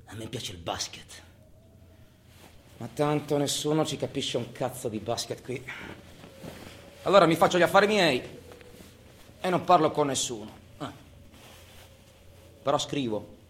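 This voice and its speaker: An actor playing a long-serving, solitary, sardonic prison inmate obsessed with order, in a colloquial, profane theatrical monologue. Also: male voice, medium pitch, lively intonation, fairly bright tone, slight background noise. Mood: resigned, confiding. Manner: swings from muttered confiding to barked, drawn-out announcements.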